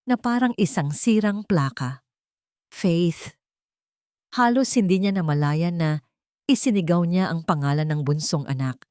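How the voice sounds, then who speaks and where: A woman's voice: low voice, a little mellow, dry recording, clean studio sound. A woman with a Filipino accent reading scripted drama as a storyteller, in an emotive, suspenseful manner. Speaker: story narrator. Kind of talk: dramatic scripted narration of a Tagalog romance drama.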